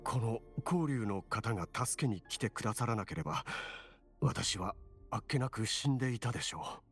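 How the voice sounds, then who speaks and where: A man's voice: medium pitch, animated, fast, lightly acted. An actor playing a courteous, devout townsman in a Japanese video game, speaking formally and earnestly over music. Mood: earnest, grateful. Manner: polite, formal.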